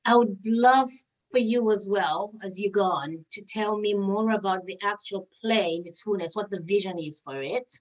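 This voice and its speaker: A woman interviews a guest in a casual, conversational style. Her pitch is average for a woman, and her manner is informal and encouraging. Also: moderate pace, poor audio. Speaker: interviewer, podcast host.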